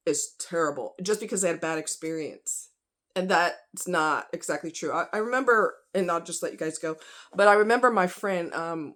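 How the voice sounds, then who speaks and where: A woman vlogger talking casually and directly to her viewers in a folksy, conversational, emphatic way. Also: on the low side.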